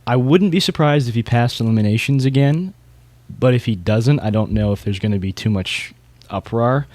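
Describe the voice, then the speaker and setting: A man's voice: mid-range voice, moderate pace, darkish tone, no echo. A man hosting a podcast, talking through competitors and his opinions in a casual, informal way. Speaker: podcast host. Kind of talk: casual discussion of beatbox competitors.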